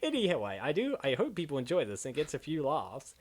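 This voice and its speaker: A man hosting a comic dub with excitable, rambling, casual reaction commentary. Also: on the high side, brisk.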